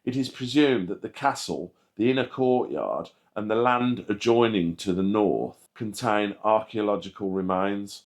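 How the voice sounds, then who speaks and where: Man, low-pitched voice, scripted documentary narration, measured factual reading. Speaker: channel host narrating a history video. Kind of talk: scripted narration, read aloud.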